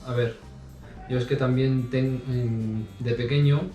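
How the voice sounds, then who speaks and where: A man answering interview questions in a relaxed way, with lots of pauses and restarts. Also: mid-range voice, far from the mic, soft background music. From Spain. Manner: hesitant, many fillers and restarts. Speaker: interview guest. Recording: roomy sound from off mic.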